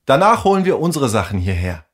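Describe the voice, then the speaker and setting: A man's voice: mid-range voice, sing-song, pitched above the performer's own voice. A man voicing a cheerful, bossy young girl in a storybook reading, taking charge and handing out jobs. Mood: bossy, eager. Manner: eager, quick to take charge.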